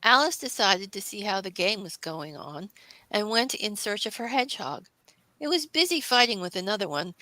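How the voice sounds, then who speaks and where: A woman's voice: medium pitch, pushed and bright, dry recording, rough recording. A woman narrating a classic children's story, reading it aloud.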